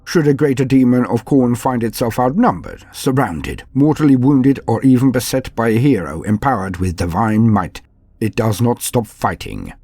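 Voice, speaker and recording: A man with a gravelly, husky voice hosts a fantasy lore show, narrating in a dramatic, portentous and formal manner. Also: medium pitch, animated, moderate pace, mellow, no echo. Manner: theatrical, portentous, formal diction. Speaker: host of a fantasy lore channel.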